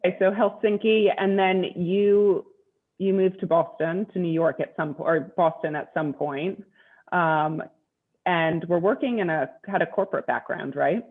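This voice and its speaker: A woman's voice: fairly low pitch, a little slow. A woman from the United States hosting a podcast interview, asking chatty questions with many fillers. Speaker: podcast host. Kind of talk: conversational interview. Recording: tinny phone-like line.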